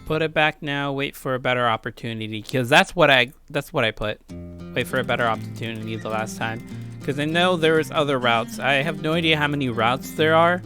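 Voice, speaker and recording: A man's voice: medium pitch, brisk, music in the background. A man giving casual, rambling let's play commentary with crude joking asides. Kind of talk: casual game commentary and read-aloud.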